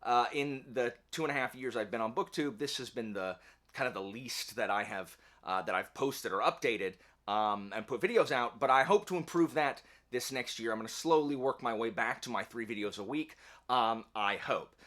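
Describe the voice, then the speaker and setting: Man, bright voice, casual vlog host, rambling, wry, self-deprecating, talking to viewers. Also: medium pitch, moderate pace. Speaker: YouTube book channel host. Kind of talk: casual channel update to camera. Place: indoor home office.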